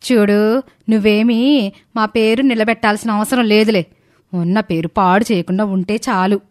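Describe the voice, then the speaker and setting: A woman's voice: medium pitch, steady tone, moderate pace, dry recording, clean studio sound, lightly acted. A woman voicing a stern middle-aged mother in a story, blunt and complaining. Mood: stern, weary.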